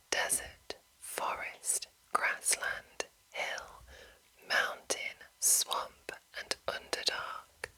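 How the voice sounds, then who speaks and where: Asmr lady whispering breathy n super crisp sibilant, reading out slowly in short careful phrases. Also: sharp tone, low-quality recording. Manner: slow, deliberate, short phrases with pauses. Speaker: ASMR host reading a monster manual.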